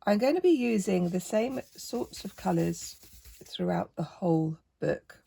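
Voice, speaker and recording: Lady with a croaky gravelly voice, chatty art tutor, keeps correcting herself. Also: medium pitch, animated, unhurried. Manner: chatty, self-correcting, gently encouraging. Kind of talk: relaxed painting tutorial.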